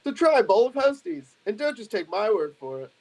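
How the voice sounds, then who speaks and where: A man's voice: sing-song, fairly quick, heavy background noise. A man voicing a cheesy cartoon Christ who cheerfully sells breakfast cereal like a TV commercial, in a script read-through. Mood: cheery salesmanship. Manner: upbeat mock commercial pitch. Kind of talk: table read of a film script.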